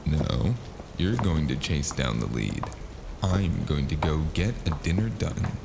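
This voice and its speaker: A man playing a world-weary, wisecracking noir detective with a gravelly voice and a dry, sardonic, laconic delivery in an audio drama. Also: very deep voice, sing-song, a little slow, exaggerated voice. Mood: wry banter. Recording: noisy sound bed.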